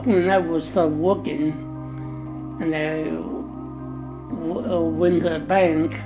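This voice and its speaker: Older woman from Taiwan, slow and low. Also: steady tone, dull audio. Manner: halting, searching for words. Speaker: interviewee. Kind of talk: documentary interview. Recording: music bed underneath.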